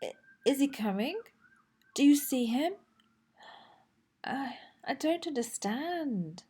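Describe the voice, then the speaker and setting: Woman as storybook toy dinosaur, excitable, childlike, pleading, lively swooping intonation, very slow pace. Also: high-pitched, voice pushed up. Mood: worried. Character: excitable, anxious toy dinosaur, boastful little boy-like friend. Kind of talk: children's storybook read-aloud.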